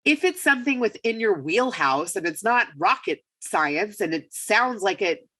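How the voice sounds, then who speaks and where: Raspy-voiced woman getting over a cold. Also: medium pitch, lively intonation, measured pace, bright, forceful tone. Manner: upbeat and emphatic. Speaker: podcast guest. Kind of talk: casual interview talk.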